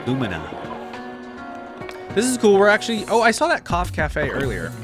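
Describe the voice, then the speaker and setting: A man reacting to videos as a host, effusive and slangy, thinking out loud in casual commentary. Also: high voice, wildly swooping pitch, normal speed. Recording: game audio and music behind.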